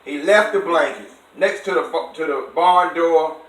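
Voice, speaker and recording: Bright-voiced man reading aloud, high-pitched, echoey. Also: measured pace. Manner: expressive, stops to quiz and explain words simply. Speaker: adult reading a bedtime story to a child. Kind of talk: animated read-aloud with explanations for a child. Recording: echoey room, distant microphone.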